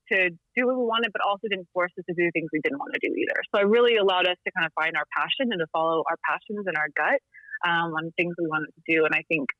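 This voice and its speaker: A woman's voice: on the low side, no echo. A woman in her thirties from Texas, calling in from home as a podcast guest, chatting casually with frequent ums and laughs. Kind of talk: casual interview chat. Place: home in Littleton, Colorado. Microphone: remote call-in line. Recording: dull dry call-in audio.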